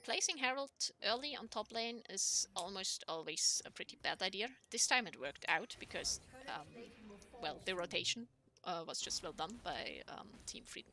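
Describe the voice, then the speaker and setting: A young woman with a squeaky voice, casting a live game in a chatty way with lots of ums and laughs. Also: pushed and bright. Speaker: esports caster.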